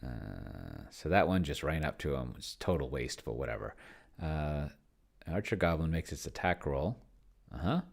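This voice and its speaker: Guy with a really low voice, kinda musing to himself while he plays a game, trails off a lot. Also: very animated, moderate pace, vocal fry, breathy edge. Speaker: solo tabletop game player and demonstrator. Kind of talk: casual think-aloud game commentary.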